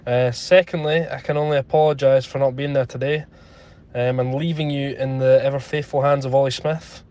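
A man recording a polite, slightly halting voice message for a podcast audience. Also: fairly high pitch, darkish tone. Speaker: absent co-host sending a recorded message.

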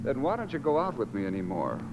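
A man's voice: mid-range voice, huge pitch swings, quick pace. Anxious young man, noisy old recording. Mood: pleading. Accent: American. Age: young adult. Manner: nervous, stammering. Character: anxious, pleading spoiled young boss's son in debt. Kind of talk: 1950s radio drama acting.

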